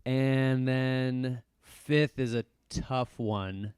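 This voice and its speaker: Guy podcast host just chatting, smooth clear voice, dry close sound, swears a lot. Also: medium pitch, fairly even intonation, extremely slow, darkish tone, no room sound. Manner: swears freely. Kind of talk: casual movie chat.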